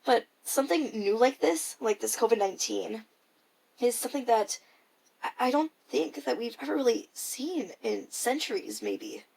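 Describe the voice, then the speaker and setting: Teen female, high school age, with noticeable vocal fry, for a reflective personal audio log; casual, hesitant delivery. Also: very animated, normal speed, darkish tone, low-quality recording. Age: teenager, high school freshman. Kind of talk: casual spoken audio diary, reflective monologue.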